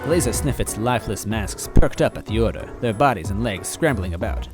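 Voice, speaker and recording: A man with a low voice reads a story aloud in first person, deadpan and mock-earnest. Faint music plays beneath him. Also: a little fast. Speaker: story reader.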